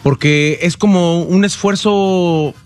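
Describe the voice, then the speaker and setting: A man's voice: high-pitched, slow pace, faint music behind. A man co-hosting a radio show, asking questions with a dry, joking manner. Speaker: radio co-host. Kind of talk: radio interview.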